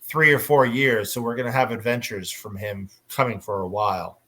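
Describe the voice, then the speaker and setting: A man moderating a casual panel discussion, often pausing to search for his words. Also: medium pitch, steady tone, bright, forceful tone.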